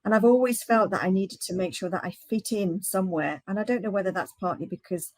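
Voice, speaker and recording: A woman's voice: medium pitch, a little mellow, no echo. Hesitant woman, dry close sound. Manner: hesitant, halting, many restarts. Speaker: guest. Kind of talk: casual discussion.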